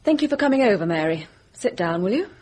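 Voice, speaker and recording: An actress playing a composed, formal young gentlewoman hiding hurt in a British radio mystery drama. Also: medium pitch, big pitch swings, fast talker. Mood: formal, reserved. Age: young adult. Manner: controlled, formal, decisive.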